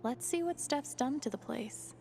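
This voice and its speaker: A woman's voice: high-pitched, expressive, moderate pace, vocal fry, warm tone, slight background noise. An actress playing a wry, curious young woman roleplaying as a bard in a video game, talking conversationally. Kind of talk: video game acting, inner monologue.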